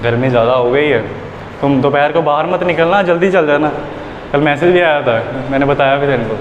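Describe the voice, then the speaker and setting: A young man explains an English lesson to students, switching between Hindi and English and repeating examples patiently. His voice sits a little high and reaches a distant camera through an echoey classroom. Steady room noise sits under him. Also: fairly quick, muffled recording. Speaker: English teacher. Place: classroom with whiteboard. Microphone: far camera.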